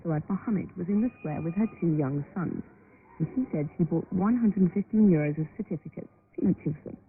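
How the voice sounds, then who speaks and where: A woman's voice: lowish voice, fairly quick. Woman, foreign correspondent, scripted report narration, phone-thin shortwave sound, noticeable static. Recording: tinny shortwave radio, clear static.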